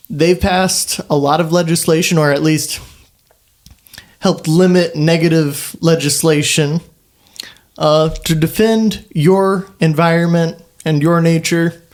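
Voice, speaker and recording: A man playing on a tabletop game podcast, chatting casually and improvising. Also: on the high side. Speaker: guest player on a game podcast.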